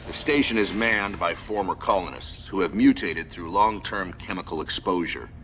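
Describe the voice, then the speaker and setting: Forceful, low-voiced military commander. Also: male voice, moderate pace, a little hiss or hum. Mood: urgent, formal. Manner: crisp, formal, commanding. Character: authoritative, serious military commander briefing his squad. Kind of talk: video game mission briefing.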